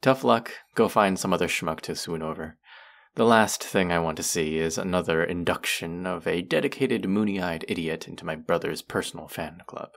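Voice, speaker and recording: A man's voice: deep voice, normal speed, creaky, fairly bright tone. A man playing a condescending, teasing young twin brother in an audio roleplay, with a mocking, drawling delivery aimed at the listener. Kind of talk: scripted roleplay addressed to listener.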